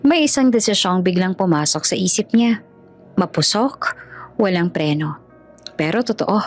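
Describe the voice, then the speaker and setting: A woman's voice: on the low side, expressive, normal speed. Woman narrating dramatic stories over music. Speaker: story narrator. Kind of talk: dramatic scripted storytelling. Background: background music.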